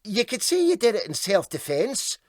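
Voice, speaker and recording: Sly Scottish crewman, done by a man, needling with mock sympathy, higher pitch, comic storybook. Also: big pitch swings, moderate pace, darkish tone, no echo, studio quality. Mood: teasing. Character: sly, teasing male deckhand. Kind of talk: comic storybook character reading.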